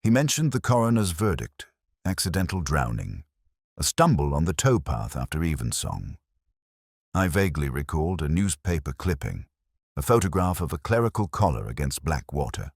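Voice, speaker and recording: A man with an extremely low voice narrates a scripted detective story in a measured, literary manner. His intonation moves widely despite the brooding delivery. The sound is dry and close, with very little room on it. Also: sing-song, husky, no echo.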